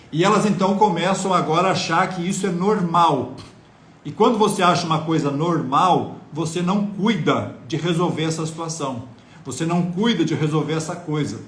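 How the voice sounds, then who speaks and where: Guy doing a live health talk off his phone, kinda high voice, echoey room with faint hiss, preachy rhetorical questions. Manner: earnest, lecturing, rhetorical questions to viewers. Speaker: health educator hosting a live stream. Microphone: phone on mobile data.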